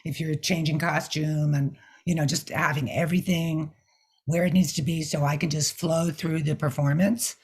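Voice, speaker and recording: An older woman with a scratchy, hoarse voice, speaking thoughtfully as an interview guest and sometimes searching for words. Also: low voice, steady tone, normal speed, a little mellow. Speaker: guest mime artist. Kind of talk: casual interview talk.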